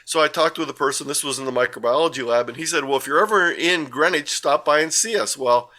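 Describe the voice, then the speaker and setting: A man's voice: on the high side, quick pace, sharp tone. Older man telling rambling travel stories. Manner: rambling, with frequent uh and self-corrections. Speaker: guest presenter. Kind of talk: casual talk with slides.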